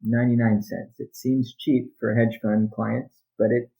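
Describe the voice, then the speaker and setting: A man speaking as a company chief executive on a conference call, in measured, deliberate and halting phrases. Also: medium pitch, little pitch movement, gravelly, mellow, poor audio. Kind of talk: business update and question-and-answer on a conference call.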